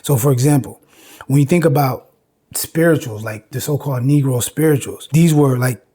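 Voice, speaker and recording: A husky male voice at a middle pitch, lecturing informally as a host. He explains step by step, repeating phrases for emphasis and dropping in conversational check-ins. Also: normal speed, warm tone. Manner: emphatic, repeats phrases, frequent "you know" and "right?".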